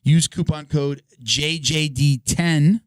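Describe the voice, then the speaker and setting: A man hosting a podcast in a casual, halting way, reading sponsor spots with a voice he is losing. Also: a little high, steady tone, clean tone, no echo. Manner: halting, restarts his read. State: hoarse, losing his voice. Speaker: podcast host. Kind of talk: casual sponsor read and banter.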